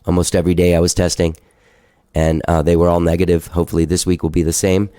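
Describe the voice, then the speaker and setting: A man's voice: low voice, completely flat delivery, quick pace, warm tone, no room sound, clean studio sound. A male podcast host with a stuffed-up nose, chatting casually and loosely, swearing now and then and laughing at himself.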